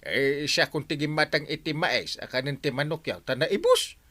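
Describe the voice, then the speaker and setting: A man's voice: on the high side, moderate pace, bright, forceful tone, no echo. A man with an Ilocano Filipino accent playing a grumbling village captain in an audio comedy, exclaiming and complaining. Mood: questioning. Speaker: lead actor in comedy drama.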